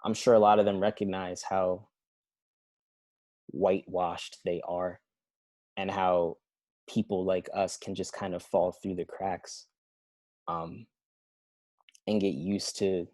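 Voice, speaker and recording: A man's voice: sits low, darkish tone, no echo. A young man in a casual group discussion, speaking reflectively and hesitantly with many ums. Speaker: participant.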